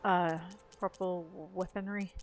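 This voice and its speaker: A woman's voice: fairly low pitch, fairly even intonation, takes it slowly, a little hiss or hum. A woman giving casual video game walkthrough commentary, thinking aloud in a rambling way. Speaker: gaming video host. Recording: game music behind the voice. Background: video game music.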